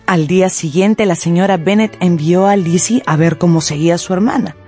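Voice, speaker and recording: A woman narrating a scripted radio drama in an expressive storytelling manner. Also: lowish voice, moderate pace, soft background music.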